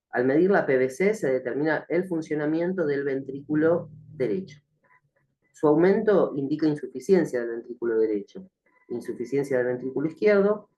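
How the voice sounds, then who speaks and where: A man teaching a recorded nursing class, slightly hoarse from the flu, speaking hesitantly with many fillers and frequent check-ins with the listener. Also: medium pitch, a little mellow, muffled recording. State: has a cough from the flu. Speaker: nursing instructor. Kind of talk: recorded online lecture.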